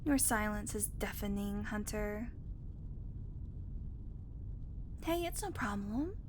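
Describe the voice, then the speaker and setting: A woman's voice: medium pitch, expressive, normal speed, noisy background, natural voice. A woman playing a snarky, irreverent vampire passenger in an audio roleplay, talking casually with sarcastic, teasing complaints. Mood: playfully menacing. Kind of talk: audio roleplay acting, conversational monologue to the listener.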